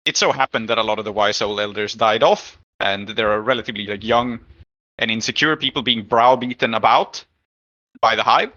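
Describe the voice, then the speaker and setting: A man's voice: lowish voice, fairly quick, pushed and bright, dull audio. A man running a tabletop game as game master, improvising the story and thinking aloud as he goes.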